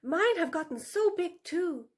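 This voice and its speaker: A woman's voice: extremely high pitch, expressive, unhurried, voice pushed up. A woman voicing an adult female fox in a children's animal story, anxiously polite and placating, with forced cheerfulness. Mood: falsely cheerful, appeasing.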